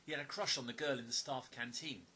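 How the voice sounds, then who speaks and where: Man acting a polite, very bright-voiced writer. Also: medium pitch, fairly even intonation, fast, a little hiss or hum, close to an everyday voice. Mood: amused, reminiscing. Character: polite, wistful man, a writer with nostalgic humour. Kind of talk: audio play acting.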